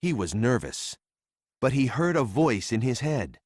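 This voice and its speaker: A man narrating a children's read-along story in a simple, deliberate storytelling manner for young readers. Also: medium pitch, very animated, a little mellow, no echo, studio quality.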